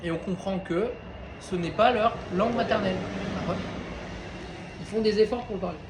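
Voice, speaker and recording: Guy with a pretty high voice teaching a torah class, chatty, keeps checking w the room, echoey hall, bit of background hum. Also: moderate pace. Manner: conversational, questioning, addresses listeners directly. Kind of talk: informal Torah class to a group of men. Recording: roomy echo with faint ambience.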